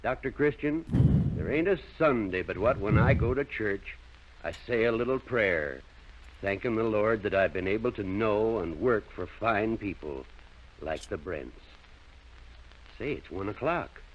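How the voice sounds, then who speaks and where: A man acting in an old-time radio drama as a loyal, devout small-town working man with a folksy manner and a husky voice. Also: fairly low pitch, expressive, a little slow, a little hiss or hum. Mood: warm, folksy. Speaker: radio actor. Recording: dull, lo-fi vintage radio recording.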